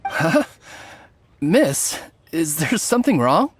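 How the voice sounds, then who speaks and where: A man playing a smooth-talking bandit posing as a friendly merchant in a video game, ingratiating and easily indignant. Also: on the high side, sing-song, takes it slowly, dry recording, exaggerated voice.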